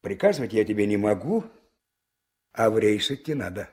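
Male Soviet dispatch boss, 1970s radio play: coaxing, husky, very animated, medium pitch. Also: normal speed. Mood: serious, pressing. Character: genial but pressing male river-port dispatch chief. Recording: old mono radio play recording.

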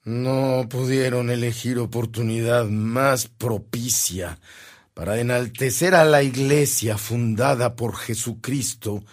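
An actor playing a devout, self-sacrificing bishop in a radio drama, measured and declamatory, with a gravelly voice. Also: male voice, on the low side, full character voice. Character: solemn, devout, self-sacrificing bishop dictating a protest letter.

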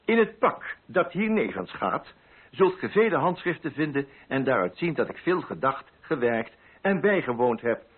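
Man reading as an earnest, needy poet, husky. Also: mid-range voice, big pitch swings, normal speed. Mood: earnest, pleading. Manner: formal, earnest, pleading. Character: earnest, courteous, needy male poet and old schoolmate. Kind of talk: letter read aloud in radio drama. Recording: muffled, band-limited radio drama recording.

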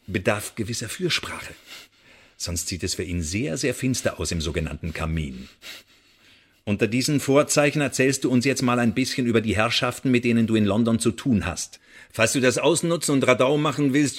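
An actor playing a menacing, mock-polite secret-service interrogator in a radio drama, articulate and sardonic. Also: male voice, fairly low pitch, fairly bright tone, slightly rough. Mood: mocking menace.

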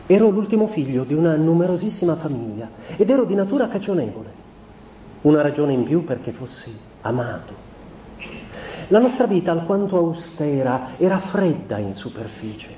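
An actor playing a sensitive, melancholy man who recalls an austere childhood in a measured, introspective stage monologue. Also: fairly high pitch, very animated, warm tone. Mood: wistful, confiding. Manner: measured, introspective, literary phrasing with deliberate pauses. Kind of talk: theatrical literary monologue. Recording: roomy, echoing sound with faint noise.

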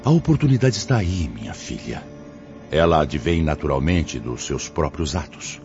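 A fatherly spirit elder in a Brazilian radio drama. His voice is extremely low and moves expressively as he admonishes with formal gravity. Music plays behind the dull recording. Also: very animated, normal speed, exaggerated voice. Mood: solemn, admonishing. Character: solemn fatherly spirit elder, a gently stern missionary.

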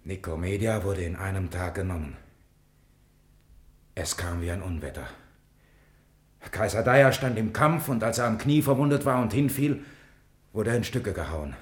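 An actor in a 1950s German radio drama playing a humble, shaken soldier who speaks gravely and deferentially, recorded with room echo. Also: male voice, deep voice, lively intonation, normal speed, pauses often. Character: humble, shaken soldier reporting to his lord. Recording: roomy vintage radio studio recording.

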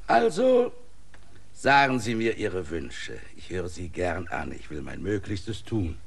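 A gravelly old bedridden mayor. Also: male voice, low voice, sing-song, takes it slowly, full character voice. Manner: courteous, rambling, officially evasive. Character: older bedridden village mayor, gout-ridden, long-winded. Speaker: village mayor. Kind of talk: radio play acting.

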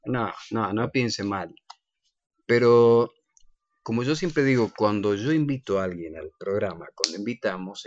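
A man's voice: fairly low pitch, no echo, lo-fi sound. A man hosting a casual live radio interview, teasing playfully and speaking straight to the listeners. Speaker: radio host.

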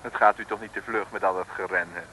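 An actor playing a jaunty, folksy, teasing storyteller who narrates a 1960s Dutch comic radio serial. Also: male voice, lowish voice, fairly even intonation, quick pace, background noise, natural voice. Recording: vintage radio recording.

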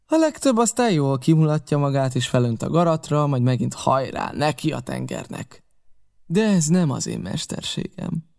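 A man voicing a scheming older male pirate cook who talks in a wheedling, boastful, flattering way, in an audiobook reading. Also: a little high, creaky.

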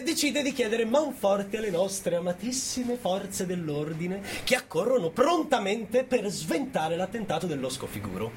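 A man's voice: sits high, slight background noise. A man performing a sarcastic, mock-indignant storyteller in a theatrical radio monologue, hesitating and chuckling through his points.

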